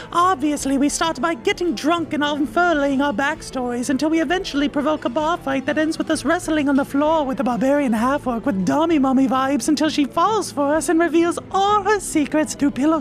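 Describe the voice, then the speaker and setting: A woman's voice: high-pitched, normal speed, few pauses, close to an everyday voice. A woman playing a lewd, cocky henchwoman in a fantasy game comedy, brash, teasing and full of innuendo.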